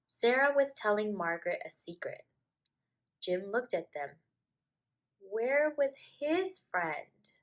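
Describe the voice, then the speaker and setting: Slow, lively woman reading a children's story. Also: mid-range voice. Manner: deliberate, expressive storytelling. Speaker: teacher reading a picture book aloud. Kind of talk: children's story read-aloud.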